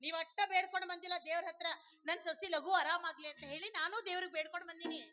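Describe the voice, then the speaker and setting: A woman playing a sharp-tongued village mother. She rattles along fast in a high, bright voice and orders everyone about. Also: little pitch movement. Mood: arguing. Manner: brisk and bossy.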